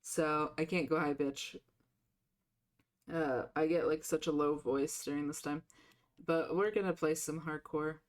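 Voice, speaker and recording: A woman streamer chatting casually and rambling with filler restarts, her voice deepened by a sore throat. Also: steady tone, a little slow. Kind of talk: casual live-stream chat.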